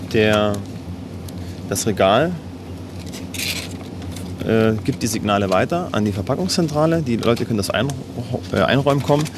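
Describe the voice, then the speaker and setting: A man's voice: medium pitch, noisy background. A man explaining a product demonstration step by step in a matter-of-fact way.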